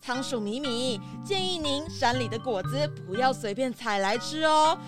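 A woman's voice: high voice, animated, normal speed, music in the background. A woman voicing a bossy but caring chameleon tour leader who admonishes and instructs in a children's mystery audio drama. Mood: admonishing.

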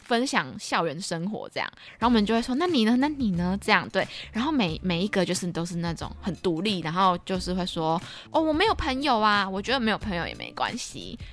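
A woman's voice: mid-range voice, fast, hardly any pauses. A young woman with a Taiwanese Mandarin accent co-hosts a casual podcast chat, telling stories and acting out what others said. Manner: animated, acts out children's remarks. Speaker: podcast co-host and camp counselor.

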